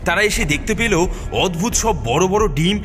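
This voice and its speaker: A man's voice: high voice, brisk. A man narrating a horror cartoon story from a script, with a dramatic, suspenseful storytelling delivery. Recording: loud music under the voice.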